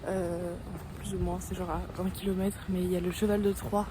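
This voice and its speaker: A woman's voice: flat delivery, a little fast. A woman casually chatting to camera as a travel vlogger, with wry, self-deprecating humor, in a noisy handheld recording. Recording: noisy handheld travel vlog, ambient vehicle rumble.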